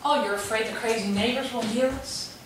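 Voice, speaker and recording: An actress playing an impulsive, emotional young wife, dramatic and swinging between shouting and tears as she argues. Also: on the high side, steady tone, fairly quick, heavy reverb, distant mic, background noise, lightly acted. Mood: cool. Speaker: wife in a quarrel.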